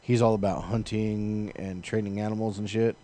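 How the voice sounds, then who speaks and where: A man's voice: on the low side, flat delivery, moderate pace, mellow. A man, perhaps in his thirties, chatting informally about video games as a co-host, with plenty of profanity.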